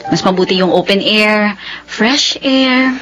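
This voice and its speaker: A woman's voice: mid-range voice, slow, a bit bright, faint music behind, low-quality recording. An actress playing a hurt, guarded young woman in love in a radio drama, hesitant and questioning, recorded a little dully.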